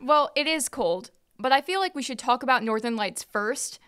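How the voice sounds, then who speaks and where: Higher-pitched woman chatting casually. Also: lively intonation, moderate pace, fairly bright tone, smooth. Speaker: off-camera co-host or crew member. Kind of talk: casual chat.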